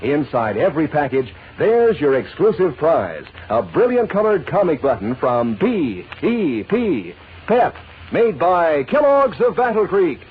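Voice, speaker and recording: A male announcer makes a folksy, enthusiastic vintage radio pitch. His voice is on the high side and bounces with intonation. The recording is thin and phone-like with faint noise. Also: sing-song, unhurried, slightly rough. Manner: folksy, eager, selling. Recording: tinny, noisy old radio recording.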